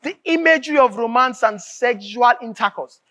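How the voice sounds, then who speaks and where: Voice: mid-range voice, animated, moderate pace, sharp tone. An actor with a Nigerian accent playing an anguished, bitter writer in a live stage drama, declaiming emphatically and repeating phrases. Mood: indignant. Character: anguished, bitter writer raging at a corrupt nation.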